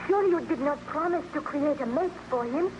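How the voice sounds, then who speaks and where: An actress playing an anxious, earnest wife who pleads dramatically in an old-time radio drama. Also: female voice, sits high, lively intonation, brisk, highs cut off. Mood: alarmed pleading. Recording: noisy vintage radio recording.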